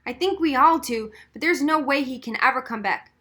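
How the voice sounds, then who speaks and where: Woman as an earnest, bright-voiced schoolboy. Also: high-pitched, a little fast. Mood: earnest, resigned. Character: earnest, resigned gifted schoolboy reasoning with a friend. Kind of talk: acted audiobook dialogue.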